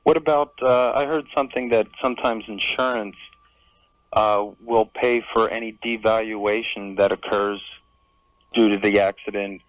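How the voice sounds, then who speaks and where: Man, radio caller, slightly low voice, halting casual talk, phone-band sound. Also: steady tone, dry recording. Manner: hesitant, halting. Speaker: caller to a radio advice show. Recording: narrow, tinny telephone line.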